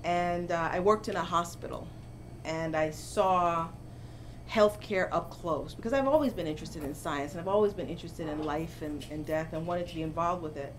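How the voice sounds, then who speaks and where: Jamaican-born woman professor, lowish voice. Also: normal speed, slight background noise. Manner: animated storytelling, gets louder and laughs when amused. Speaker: professor, interview guest. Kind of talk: conversational interview. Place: bookstore, seated in armchair indoors.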